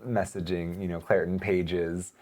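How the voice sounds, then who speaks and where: A man who is a pastor and missionary, talking earnestly in casual conversation, laughing often and mocking others in high sarcastic voices, with a lingering cough. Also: level tone, normal speed, mellow.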